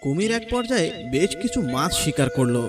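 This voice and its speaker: High-pitched, animated male storyteller. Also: a little fast. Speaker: narrator. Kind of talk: scripted children's story narration. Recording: music bed with birdsong.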